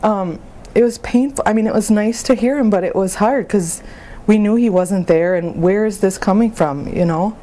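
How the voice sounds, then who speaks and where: A woman playing a grieving young mother in a support phone conversation, speaking haltingly and plainly about her feelings. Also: medium pitch, mellow, close to an everyday voice. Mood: weary, grieving. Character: grieving young mother, sad, exhausted, openly hurting. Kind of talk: role-played counseling phone conversation.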